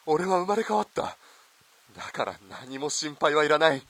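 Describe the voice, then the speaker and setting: A man's voice: fairly high pitch, expressive, moderate pace, fairly bright tone. An actor playing a wealthy, domineering man who pleads bluntly and ardently as a lover in a radio melodrama. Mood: pleading. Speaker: actor in amateur radio drama. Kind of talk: melodramatic radio drama acting.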